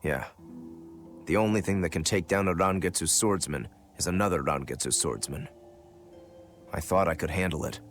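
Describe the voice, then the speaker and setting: A deep-voiced male swordsman turned demon from a video game. He is brooding and self-critical, speaking in a plain, matter-of-fact way, with faint music underneath. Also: normal speed, long pauses. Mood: somber, regretful. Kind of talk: dubbed video game dialogue.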